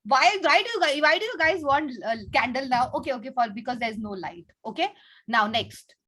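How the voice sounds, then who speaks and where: A woman's voice: high-pitched, lively intonation, a little fast, fairly bright tone, slightly rough. A woman with an Indian accent leading an online class for children, talking to them in an encouraging, instructive way over a video call. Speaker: teacher hosting an online kids' class. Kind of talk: interactive class talk to children.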